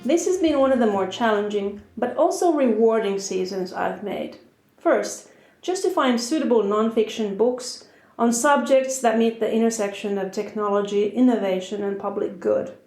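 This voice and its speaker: A woman's voice: fairly high pitch, moderate pace, a little mellow. A woman with a Finnish accent hosting a podcast, introducing the episode and chatting with a guest in her home. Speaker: podcast host. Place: her house. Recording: roomy echo.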